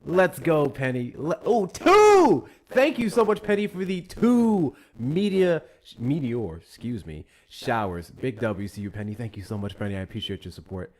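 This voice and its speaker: A man's voice: mid-range voice, very animated, moderate pace. A man hosting a live stream, chatting loosely with viewers, thanking them for gifts and repeating himself as he talks. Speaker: livestream host. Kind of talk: casual live-stream commentary.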